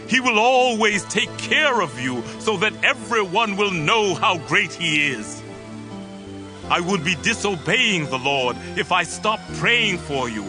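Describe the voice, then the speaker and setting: A man playing a stern, solemn prophet admonishing his people in a dramatized scripture reading. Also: high voice. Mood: grave, reassuring. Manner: slow, grave, exhorting. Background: music.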